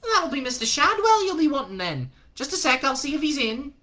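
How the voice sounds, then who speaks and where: A man's voice: very high voice, very animated, fairly quick, sharp tone, pitched above the performer's own voice, exaggerated voice. Man doing a breezy, chatty landlady's voice. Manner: chatty, calls everyone love. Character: breezy middle-aged woman, fortune-telling landlady.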